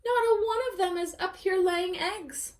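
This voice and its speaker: A woman's voice: very high-pitched, normal speed, voice pushed up. A woman voicing a puzzled, grumbling farmer in a children's storybook, in a folksy, questioning manner. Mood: puzzled, bothered. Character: puzzled, grumbling farm couple.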